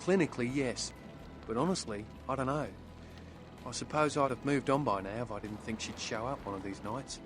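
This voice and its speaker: A man's voice: mid-range voice, brisk, music in the background, lightly acted. A man playing a young surfer turned outcast vampire in a video game, wistful and bitter, with a folksy, plain-spoken manner. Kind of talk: video game dialogue acting.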